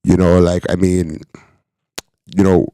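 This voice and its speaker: A man's voice: deep voice, flat delivery, unhurried, dark tone, smooth. A man born in Jamaica and raised in Canada, hosting a reaction show in emphatic commentary, repeating phrases for stress, with a Canadian accent with Jamaican touches. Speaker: host.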